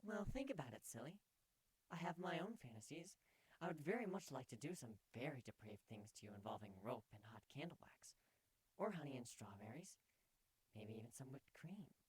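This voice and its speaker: A man voicing a playful, affectionate young female changeling pony with a breathy, coaxing voice in a fan fiction reading. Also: mid-range voice, big pitch swings, normal speed, low-quality recording. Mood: playfully coy. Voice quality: breathy, hushed. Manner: teasing, coaxing, tender.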